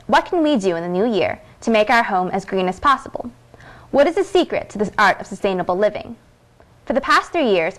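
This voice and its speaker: Woman presenting a scripted feature segment. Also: mid-range voice. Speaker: segment host and reporter.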